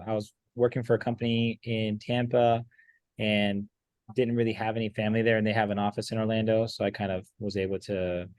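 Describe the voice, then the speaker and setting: Low, flat-voiced young man from Miami. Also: no room sound. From Miami, Florida. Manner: relaxed, rambling with many fillers. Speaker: guest pool player. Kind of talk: casual interview chat.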